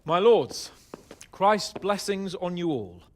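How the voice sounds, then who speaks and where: An actor playing a brash, candid young medieval lord in a game cutscene. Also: male voice, sits high, sing-song, slow pace, slight background noise, exaggerated voice. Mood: hearty. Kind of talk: dramatic video game cutscene acting.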